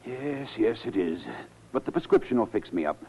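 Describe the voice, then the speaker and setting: Nervous fussy gent, self-important, 1940s radio drama, hesitant, dull old recording. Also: male voice, mid-range voice, lively intonation, moderate pace, slightly rough. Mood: fussy, polite. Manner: hesitant, repetitive, genteel. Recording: muffled old radio broadcast.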